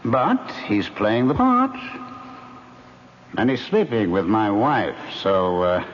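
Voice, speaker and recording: A man's voice: on the low side, sing-song, slow. An actor in a vintage radio drama playing the vengeful, jealous ghost of an older theater producer, suave and taunting as he coaxes. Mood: coldly scheming. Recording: muffled, hissy old radio recording, long reverb.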